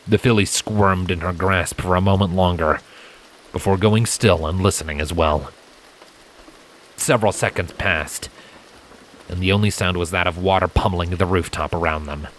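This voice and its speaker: A man's voice: sits low, steady tone, normal speed, long pauses, a bit bright, slight background noise, low-quality recording. A man reading fan fiction as a dramatic, scripted storybook narration. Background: music and rain effects.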